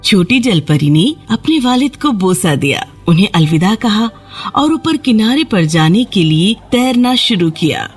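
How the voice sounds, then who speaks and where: Woman telling a kids fairy tale, medium pitch, scripted narration, music in background. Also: sing-song, breathy edge. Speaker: storyteller, narrator.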